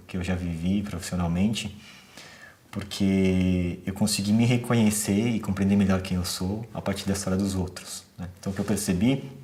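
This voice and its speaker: A man's voice: fairly low pitch, a little mellow. A young Brazilian man, an entrepreneur, speaking thoughtfully and earnestly in a documentary interview. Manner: thoughtful, earnest, many rhetorical questions.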